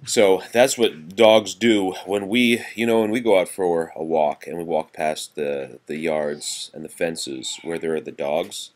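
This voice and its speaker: A man's voice: sits low, fairly even intonation, a little slow, hardly any pauses. A man reading nursery rhymes aloud in a sing-song way, chatting casually to a child between them as a parent would.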